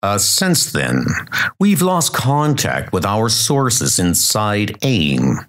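A man voicing a businesslike male agent in a comic dub, formal and measured. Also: fairly low pitch, wildly swooping pitch, fairly bright tone, exaggerated voice.

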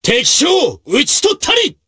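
An actor voicing a brave, martial samurai officer in a video game, with gruff, raspy, declamatory delivery in archaic period speech. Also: male voice, extremely high pitch, huge pitch swings, a little slow, exaggerated voice. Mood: rousing battle cries. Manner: forceful, declamatory.

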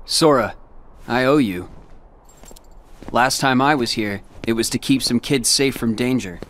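A man's voice: mid-range voice, unhurried, a bit bright, a little hiss or hum, close to an everyday voice. A young man playing a brooding, self-sacrificing teenage boy warrior in a game cutscene, grave and restrained. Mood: calm, grateful. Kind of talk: video game cutscene acting.

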